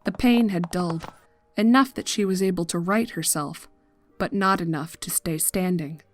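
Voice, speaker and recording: A woman narrating a scripted horror story in a measured, dramatic manner. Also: lowish voice, no room sound, soft background music.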